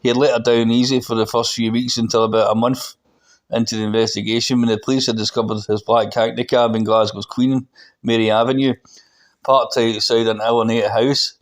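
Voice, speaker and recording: A man reading prose fiction aloud as the author, in a narrative storytelling style. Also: medium pitch. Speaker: author reading own book.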